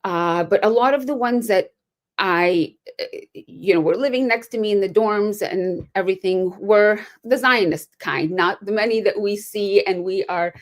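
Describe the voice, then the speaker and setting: A woman speaking as a panelist over a video call, earnest and measured, with rhetorical asides. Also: medium pitch. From United States. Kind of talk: prepared remarks in an online panel.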